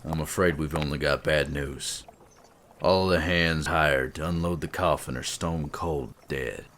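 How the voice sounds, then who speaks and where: An actor playing a grim male companion who reports bad news in a low, subdued way in an audio drama. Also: fairly even intonation, a little slow, fairly bright tone, slight background noise.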